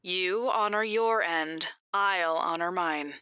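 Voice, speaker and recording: A sharp, forceful voice for a guarded female vampire informant. She is terse and businesslike, in a dry, dull audio drama recording. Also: fairly low pitch, slow pace, clear, resonant tone, dry recording.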